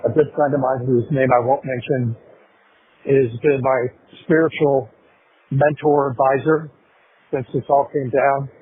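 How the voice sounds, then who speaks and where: A man's voice: mid-range voice, little pitch movement, unhurried. A middle-aged man in a casual personal conversation over a thin telephone line. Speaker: parent of the inmate on a jail call. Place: recorded phone call. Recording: tinny phone line with faint noise.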